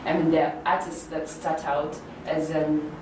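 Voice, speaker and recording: A woman's voice: low voice, level tone, normal speed. A woman from Uganda interviewing a guest, searching for words and often repeating herself. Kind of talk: interview questions. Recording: echoey room, mic far off, noisy.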